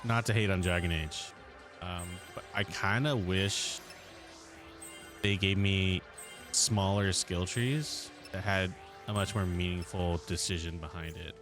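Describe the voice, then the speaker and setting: Guy doing casual let's play chat, pretty low voice, faint music behind. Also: a little slow. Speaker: video game let's play host. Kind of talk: casual gameplay commentary chat.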